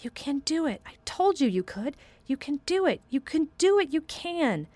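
A woman's voice: high-pitched, big pitch swings, moderate pace, dark tone, dry recording, voice pushed up. A woman doing an earnest little girl muttering. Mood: urging. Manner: muttering, insistent. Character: earnest young girl urging under her breath.

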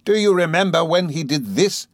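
A fierce, vengeful woman with a scar spits shrill accusations in a high, strained voice, as a male reader puts her on. The sound is dry and close, with no room around it. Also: lively intonation, moderate pace, dry recording. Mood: furious.

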